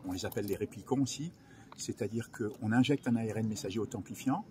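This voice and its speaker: Over noticeable ambient noise, a male researcher speaks in a fairly low voice. He gives technical answers as an interviewee, halting and repeating phrases as he explains. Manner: hesitant, repetitive, technical. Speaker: research director in biology, interview guest. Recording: loud ambient background noise.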